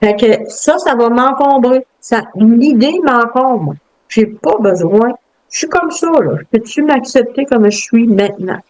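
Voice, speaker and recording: A woman with a Quebec French accent, reflective and halting, with many fillers and restarts as she talks. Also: on the high side, lively intonation, a little mellow, poor audio. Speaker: guest. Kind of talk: casual interview talk.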